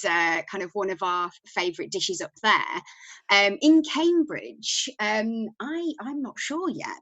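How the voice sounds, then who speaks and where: A woman's voice: on the low side, a bit bright, no echo, poor audio. Chatty Yorkshire woman, dry close sound. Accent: Yorkshire English. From Yorkshire, England. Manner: chatty, many ums and restarts. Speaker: podcast guest, company founder. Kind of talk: casual interview talk.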